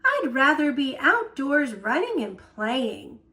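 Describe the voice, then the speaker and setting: A woman reads a little granddaughter in a children's picture book. She is restless and curious, plaintive and full of questions. Her voice is high and animated, with slow, drawn-out delivery. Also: exaggerated voice. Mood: bored, sighing. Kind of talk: picture-book read-aloud.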